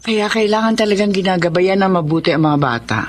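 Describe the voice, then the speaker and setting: A woman's voice: medium pitch, lively intonation, fast, slight background noise, natural voice. A woman playing a caring, practical middle-aged schoolteacher and mother in a radio drama, earnest and nurturing as she advises. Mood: earnest, advising. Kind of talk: Tagalog radio drama acting.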